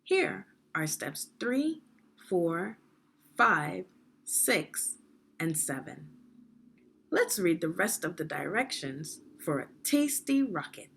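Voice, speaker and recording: A woman reading aloud a children's instructional book like a teacher, phrasing deliberately and putting prompting questions to young readers. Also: on the low side, very animated, gravelly, fairly bright tone, a little hiss or hum. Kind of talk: read-aloud guided reading lesson.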